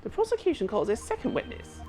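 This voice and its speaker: A woman's voice: on the high side, wildly swooping pitch, fast talker, warm tone, soft background music, pitched above the performer's own voice. A woman voicing a pompous male prosecutor who speaks in a showy, self-satisfied way. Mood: smug.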